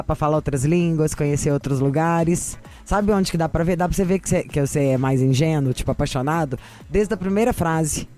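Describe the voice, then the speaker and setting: A woman hosting a radio advice show, with sassy, gossipy, animated chat. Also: low voice. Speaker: radio host.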